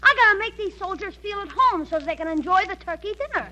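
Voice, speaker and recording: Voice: extremely high pitch, lively intonation, quick pace, bright, forceful tone, full character voice. An actor playing a brash, eager, slangy schoolboy in a 1940s radio comedy. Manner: slangy, boastful, emphatic. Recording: noisy old radio broadcast with hum.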